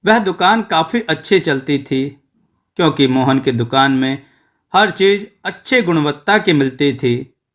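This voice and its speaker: A man tells a scripted moral tale at an unhurried pace. His voice is bright and forceful, sitting a little high for a man, and each phrase is measured and clearly spoken. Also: expressive. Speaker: storyteller. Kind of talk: scripted moral story narration.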